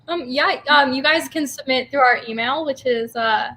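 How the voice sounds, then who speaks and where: A woman's voice: sits high, normal speed, bright, forceful tone. A woman speaking as an interview guest in casual, informal chat, with slightly hesitant phrasing. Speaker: theatre company guest.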